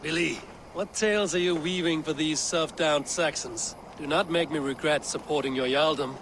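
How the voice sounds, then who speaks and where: A man's voice: a little high, fairly even intonation, close to an everyday voice. Man acting a commanding Viking leader. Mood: wry, encouraging. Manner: commanding, measured. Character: commanding, loyal male Viking war leader, warm to allies. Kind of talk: video game voice acting. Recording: noisy outdoor ambience behind.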